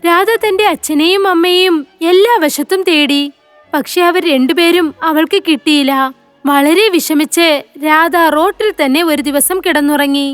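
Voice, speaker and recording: Very high-pitched woman storyteller. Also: a little slow, dry recording, faint music behind. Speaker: narrator. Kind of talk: scripted children's story narration.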